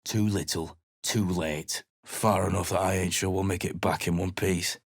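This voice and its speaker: A middle-aged man voicing a surly, weary male mercenary adventurer in a video game, talking in a gruff, blunt and sarcastic way. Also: sits low, steady tone, normal speed, no echo, clean studio sound. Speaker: game character.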